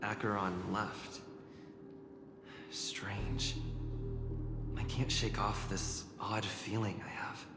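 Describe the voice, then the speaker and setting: Pensive male game traveler, lowish voice, slow understated musing, echo, music behind. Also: level tone. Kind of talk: video game voice acting. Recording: echoey reverb, music behind.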